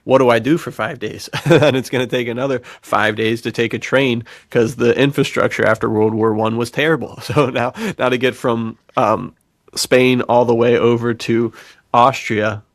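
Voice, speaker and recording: A man's voice: mid-range voice, steady tone, moderate pace, no echo, studio quality. A man hosting a casual podcast chat about writing, talking in an effusive, informal way as a fellow author. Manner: effusive, informal, says "dude". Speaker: podcast host and fiction author.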